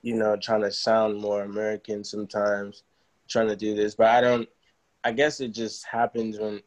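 A young man born in Nigeria chats about his music in a relaxed, unhurried way. He circles back on his words and leans on easy fillers as he goes. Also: lowish voice. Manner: relaxed, loose, full of fillers and restarts. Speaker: musician guest. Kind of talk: casual interview chat.

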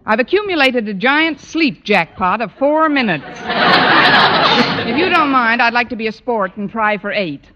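An actress playing a weary, sardonic schoolteacher, dry and wisecracking, in a vintage radio comedy. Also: female voice, on the high side, very animated, a little slow. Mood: sleepy sarcasm. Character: wry, sardonic, weary adult woman schoolteacher. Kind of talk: 1940s-50s radio comedy acting. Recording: muffled, noisy old-time radio recording.

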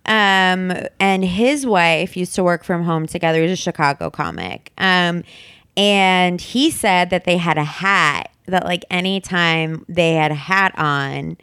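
A woman's voice: on the low side, measured pace, clear, resonant tone, no room sound, studio quality. Nasal woman chatting casually. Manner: animated, laughing, acting out quotes. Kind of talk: casual chat.

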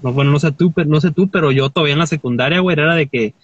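A man's voice: a little high, racing, highs cut off. A Mexican man in his twenties, a guest chatting casually in a joking, slangy, rambling way. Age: 20s. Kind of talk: casual chat.

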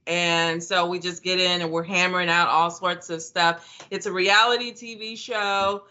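Chatty woman podcast host, bright voice. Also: lowish voice, steady tone, normal speed, dull audio. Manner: chatty, rambling with many restarts. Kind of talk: casual podcast chat.

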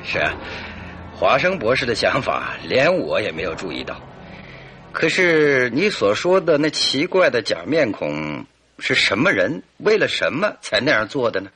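An actor playing a shrewd, authoritative detective in a radio drama, deliberate and probing. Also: male voice, mid-range voice, big pitch swings, bright, forceful tone, soft background music.

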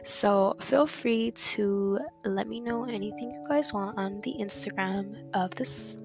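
A young woman hosting her own podcast, talking in a soft, reflective way and repeating words for emphasis. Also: medium pitch, unhurried, creaky. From Dominican family background. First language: English. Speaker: podcast host. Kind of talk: casual solo podcast monologue. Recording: music playing underneath.

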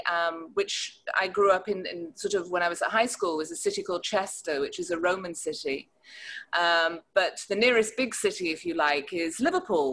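A woman's voice: lowish voice, a bit bright. A middle-aged woman with a Northern English accent, talking casually as an interview guest and reminiscing. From north of England, rural Cheshire. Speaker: interview guest, wine educator and professor.

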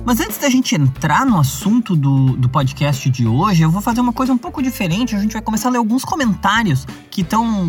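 Young adult male podcast host, southern Brazilian accent, relaxed rambling delivery, faint music bed. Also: a little high. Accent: southern Brazilian (gaúcho). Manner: conversational, many fillers and self-restarts. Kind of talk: casual podcast monologue.

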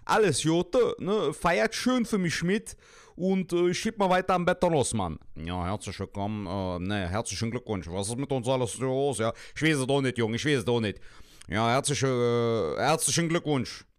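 A man's voice: medium pitch, animated, moderate pace, no room sound, clean studio sound, natural voice. A man voicing a laid-back, streetwise young man who talks in slang and keeps losing his words. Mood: upbeat. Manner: slangy, calls everyone "Junge" and "Mann". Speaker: greeting the podcast.

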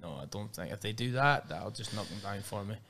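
Low-voiced Northern Irish man, rambling. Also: lively intonation, fairly quick, pushed and bright, a little hiss or hum. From Northern Ireland. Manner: rambling with restarts and "like". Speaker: MMA fighter and guest. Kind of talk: casual interview chat.

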